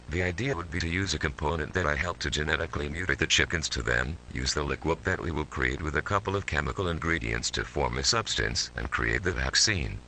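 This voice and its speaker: A man's voice: extremely low pitch, pushed and bright, a little hiss or hum, exaggerated voice. A man voicing a detached military scientist, reading a formal, stilted analog horror narration. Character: male military scientist coldly recounting his mutant experiments.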